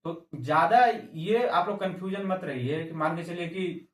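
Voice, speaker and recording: Echoey, higher-pitched male coaching teacher. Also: normal speed. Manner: repeats and restarts phrases, mixes in English terms. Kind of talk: informal explanatory lecture to exam aspirants. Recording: echoey room, distant microphone.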